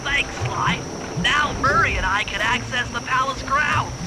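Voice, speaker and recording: A man's voice: extremely high pitch, unhurried, over loud music, rough recording. A man voicing a nerdy, cautious turtle mastermind in a cartoon game, with a nasal voice and precise, wordy, earnest briefings. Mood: instructive. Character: nerdy, cautious male turtle mastermind, planning heists over radio.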